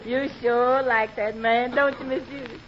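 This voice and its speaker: A woman's voice: a little high, fairly even intonation, a little slow, natural voice. A woman playing a giggly, deferential housemaid with a folksy drawl in an old radio comedy. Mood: teasing, chatty. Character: giggly folksy female housemaid friend, Geranium. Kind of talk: 1940s radio comedy acting. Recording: muffled noisy old radio, studio audience.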